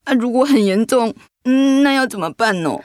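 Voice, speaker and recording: A woman's voice: a little high, animated, moderate pace, a little mellow, no room sound, clean studio sound, natural voice. An actress playing a frightened, anxious middle-aged mother who is ill, in a radio drama. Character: frightened, anxious mother facing cancer treatment.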